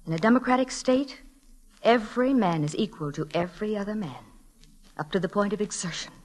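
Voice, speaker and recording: A woman's voice: medium pitch, a little fast, darkish tone, lightly acted. A woman playing a strict but caring schoolteacher in a 1940s radio drama, speaking earnestly with formal emphasis. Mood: earnest, firm. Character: stern but caring, principled female schoolteacher.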